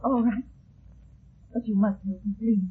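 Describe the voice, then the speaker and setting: High, frantic, frightened woman. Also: fairly even intonation, measured pace, breathy edge. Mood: pleading. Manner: breathless, panicky pleading. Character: frightened, pleading woman fleeing a murder scene. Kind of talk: 1940s-style radio melodrama acting. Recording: muffled lo-fi old radio recording.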